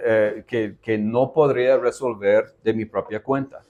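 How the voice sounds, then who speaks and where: A man's voice: fairly low pitch, fairly even intonation, darkish tone, muffled recording. A man interpreting a sermon phrase by phrase, correcting himself and restarting as he goes. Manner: quick renderings with restarts and self-corrections.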